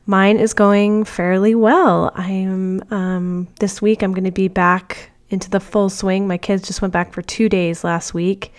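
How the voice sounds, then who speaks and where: A woman's voice: medium pitch, little pitch movement, measured pace, few pauses. Brief: woman podcast host, 30s-40s, nasal and congested from a cold, upbeat casual monologue. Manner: chatty and upbeat, with fillers. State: stuffy nose from a cold.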